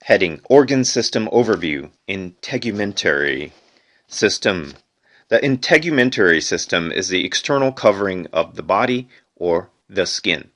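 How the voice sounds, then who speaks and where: Male amateur reader, lowish voice, deliberate phrasing, unpolished textbook read-aloud. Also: expressive, fairly bright tone. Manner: deliberate phrasing, reads headings aloud, stumbles and corrects himself. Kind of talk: unedited educational read-aloud.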